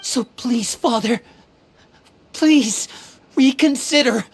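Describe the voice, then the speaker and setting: A man's voice: very high voice, slow, breathy edge, full character voice. A performer voicing an earnest young man who pleads with a parent figure, in a sincere, imploring way. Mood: pleading.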